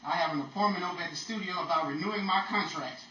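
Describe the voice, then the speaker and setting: A man's voice: sits high, rapid-fire, bright, forceful tone, muffled recording. A man playing an exasperated, bossy straight man in an old time radio style comedy. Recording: roomy echo, distant mic, faint noise.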